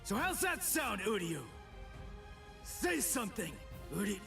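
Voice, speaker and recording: Hot-headed teenage boy, high male pitch, lively swings, blunt, intense anime game dub, music behind. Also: a little slow, vocal fry, exaggerated voice. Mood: confrontational. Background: loud game music.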